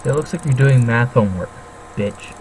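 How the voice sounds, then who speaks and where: A woman's voice: extremely low pitch, lively intonation, moderate pace. Casual young woman, faintly noisy recording. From Ohio, United States. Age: young adult. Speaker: co-host commenting on a book reading. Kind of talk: casual banter.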